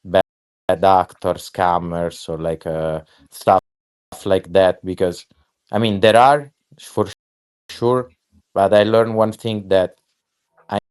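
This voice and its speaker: A man with a lowish, smooth, clear voice talks slowly in a casual online chat. He rambles, swears freely, and fills gaps with "like" and "uh". He often restarts his sentences. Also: steady tone, darkish tone. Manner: rambling, profane, many fillers and restarts. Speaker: guest artist.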